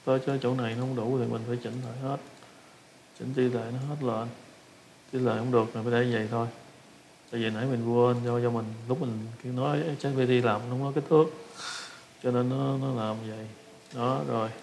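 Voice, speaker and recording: A man with a Southern Vietnamese accent casually talking through a software tutorial step by step, halting and repeating himself as he improvises. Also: mid-range voice, level tone, slight background noise, low-quality recording. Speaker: tutorial instructor.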